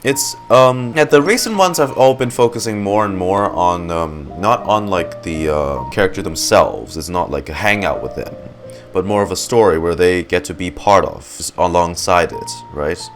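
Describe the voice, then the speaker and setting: A man commentates casually on a video game, thinking aloud in a rambling, halting way. His voice is fairly low but moves around a lot in pitch, and game music plays clearly in the background. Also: animated.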